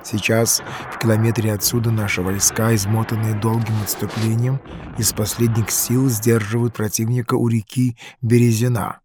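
An actor playing a stern, commanding French general in an audio drama, speaking in a curt, deliberate, authoritative manner. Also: male voice, lowish voice, little pitch movement, over music, natural voice. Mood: urgent, commanding. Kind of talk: scripted audio drama acting.

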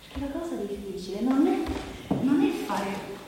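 A woman performing a reflective stage monologue as an actress thinking aloud, halting and repeating phrases, as if in spontaneous conversation. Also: on the high side, very animated, dark tone. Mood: pensive, tender. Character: reflective actress pondering how to portray women awaiting death. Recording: very echoey hall, distant, noisy.

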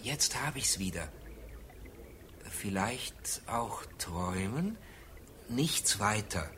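A man's voice: on the low side, animated, sharp tone, slight background noise, full character voice. An actor in a German radio drama playing a brooding, theatrical man who recites Hamlet in a declamatory manner. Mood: pensive. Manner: theatrical, declamatory, drawn-out phrasing.

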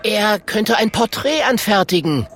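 A man's voice: high voice, lively intonation, unhurried, a bit bright, slight background noise, voice pushed up. A man voicing a small young male dragon in a children's story, earnest and anxious but brave. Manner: earnest, worried. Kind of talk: children's audiobook character voice.

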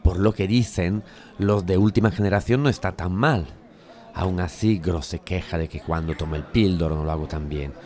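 A man's voice: low-pitched, moderate pace, dark tone, lightly acted. An actor from playing an unsure, curious man who tentatively confides private worries to a friend. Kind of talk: Spanish audio fiction dialogue.

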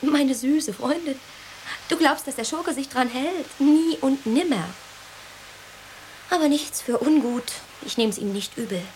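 A woman's voice: sits high, a little slow, a little mellow, slight background noise, rough recording. An actress playing a vain, playful woman who teases her friend, in a theatrical radio play style. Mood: teasing. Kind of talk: 1990s German radio play acting.

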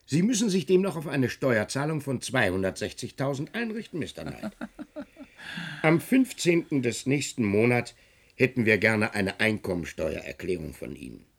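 An actor playing a smug, officious tax inspector in a German radio drama, chatty and unctuous with false courtesy. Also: male voice, mid-range voice, sing-song, normal speed, vocal fry, slightly rough, full character voice.

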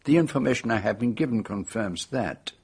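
An actor in a radio drama playing a courtly, sly Belgian detective with a French-speaking accent and formal, florid phrasing. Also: male voice, fairly low pitch, expressive, brisk, a little mellow, husky. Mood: smoothly probing.

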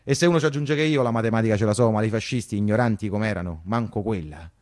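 A man's voice: fairly low pitch, fast, darkish tone. A man with a Roman accent performing a theatrical first-person monologue in colloquial dialect, repeating phrases emphatically like a popular storyteller. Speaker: actor-narrator.

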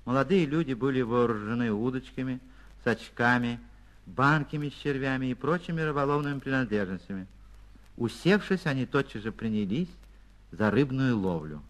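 A man reading a literary short story for radio as an actor, expressive and theatrical, with deliberate pauses. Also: medium pitch, rough recording. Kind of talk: dramatic literary narration for radio.

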